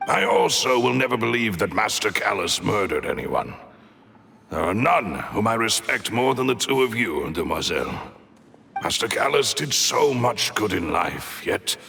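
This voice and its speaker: A gravelly, bright-edged man's voice as a loyal retainer. He is earnest, formal and deferential, with faint noise behind him. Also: on the low side, exaggerated voice. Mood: respectful, regretful. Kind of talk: video game voice acting.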